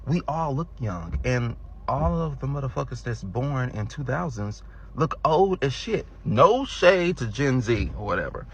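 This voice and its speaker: A man of thirty on a casual, incredulous rant, halting and peppering it with "like". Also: medium pitch, expressive, a little hiss or hum.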